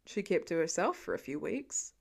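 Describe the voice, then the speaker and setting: A woman voicing a calm, soothing father with gentle dry humour in a storybook reading. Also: lowish voice, moderate pace, vocal fry, voice pushed down, full character voice. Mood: calm, reasoning.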